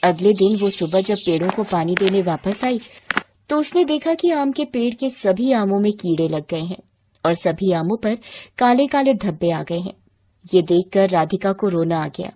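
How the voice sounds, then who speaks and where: A woman's voice: mid-range voice, animated, normal speed, dark tone. A woman storyteller reading scripted narration for a moral bedtime tale.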